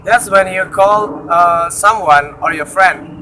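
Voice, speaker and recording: A young man giving a halting step-by-step demonstration in simple non-native English, outdoors. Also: sits high, pushed and bright. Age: young adult. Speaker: student demonstrator. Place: outdoors beside a campus building. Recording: faintly noisy outdoor recording.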